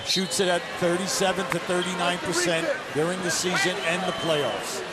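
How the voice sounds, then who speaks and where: A man's voice: high voice, steady tone, normal speed, a loud crowd. A man giving analytical color commentary on a basketball broadcast, explaining plays with emphatic bursts. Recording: broadcast commentary over loud arena noise.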